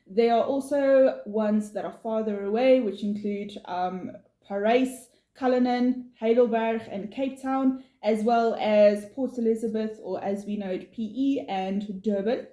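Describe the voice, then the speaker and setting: Steady South African woman explaining. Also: fairly high pitch, measured pace. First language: not English. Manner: steady, informative, with fillers. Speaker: vlog co-host. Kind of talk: casual chat, explaining. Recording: echoey room.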